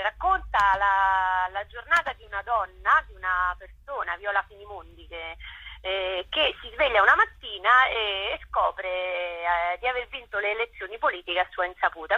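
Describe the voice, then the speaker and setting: Over a dull telephone line, a woman gives a casual interview. Her voice is husky and bright, and she often stops to rephrase her thoughts. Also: medium pitch, dry recording. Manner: halting, self-correcting, often rephrasing. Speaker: actress and playwright, interview guest. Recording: dull, band-limited phone line.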